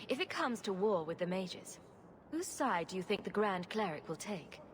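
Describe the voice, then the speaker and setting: A woman plays a quick-witted fantasy game heroine with a dry, sarcastic delivery. The pitch is middling, and there is clear noise behind the voice. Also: very animated, lightly acted. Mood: questioning, direct.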